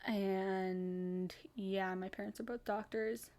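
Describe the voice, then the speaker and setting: A woman's voice: mid-range voice, steady tone, takes it slowly, a little mellow. A young woman in her twenties who grew up in Kenya, hosting a casual chat podcast and rambling with lots of fillers and giggles. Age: 20s. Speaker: podcast host.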